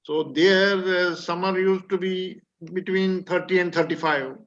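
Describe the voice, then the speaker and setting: A man's voice: high voice, fairly even intonation, measured pace, fairly bright tone. A man from Kashmir chatting casually before a webinar, hesitant and often correcting himself. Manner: hesitant, self-correcting, many fillers. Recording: muffled webinar audio.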